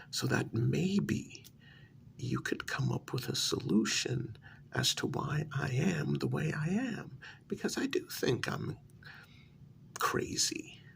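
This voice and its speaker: Guy doing smug delusional mental patient, asmr roleplay, slow soft half whisper, super gravelly fry. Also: medium pitch, heavily acted. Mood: troubled, musing. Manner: unhurried, condescending, halting. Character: deluded male asylum inmate, smugly convinced he created the world. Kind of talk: soft-spoken ASMR role-play monologue.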